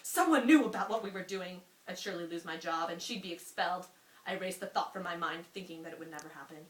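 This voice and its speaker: A woman's voice: fairly low pitch, fast talker. A woman reading a story aloud informally, as an amateur, prone to giggly asides. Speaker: amateur reader. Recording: roomy echo, faint hiss.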